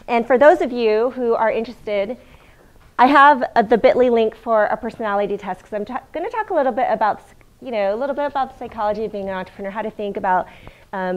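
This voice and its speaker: A middle-aged woman with a loud voice giving a lively, conversational guest lecture in a university classroom, asking the audience questions. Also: medium pitch.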